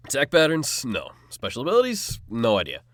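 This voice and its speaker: A man's voice: medium pitch, wildly swooping pitch, fairly quick, a bit bright, dry recording, clean studio sound. A man voicing a seasoned, cocky swordsman who guides younger players in an audiobook. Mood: brisk, matter-of-fact. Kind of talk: audiobook character acting.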